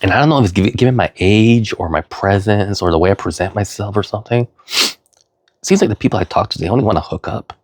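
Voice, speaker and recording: A man's voice: fast talker, no echo. Sniffly man rambling with a cold. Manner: rambling, filler-heavy, repeats "you know"; earnest, swings into loud high emphasis. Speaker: vlogger. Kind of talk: rambling personal vlog monologue.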